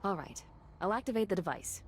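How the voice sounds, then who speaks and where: A woman's voice: mid-range voice, animated, brisk, noisy background, natural voice. A woman playing a confident, businesslike investigator in a video game, matter-of-fact and self-assured. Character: confident, businesslike female investigator with a dry edge. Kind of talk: scripted video game cutscene acting.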